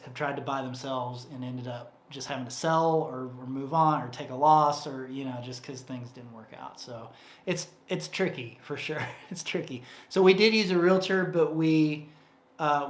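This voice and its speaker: A man co-hosting a casual livestream Q&A, rambling and chatty with lots of fillers and restarts, his voice getting tired from talking. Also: mid-range voice. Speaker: co-host of a livestream Q&A. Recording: roomy echo.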